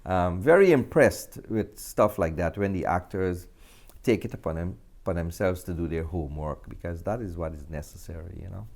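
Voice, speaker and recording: A man's voice: deep voice, normal speed, creaky, darkish tone. A middle-aged man with a Guyanese accent, talking earnestly and reflectively as an interview guest. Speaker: film director and actor, interview guest.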